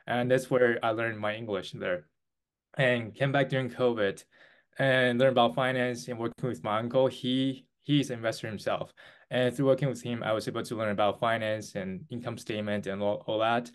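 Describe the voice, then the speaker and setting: A man's voice: mid-range voice, steady tone, clear, resonant tone. Earnest young Taiwanese-born man. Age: 20s. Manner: earnest, halting with restarts and fillers. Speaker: guest. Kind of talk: interview answers.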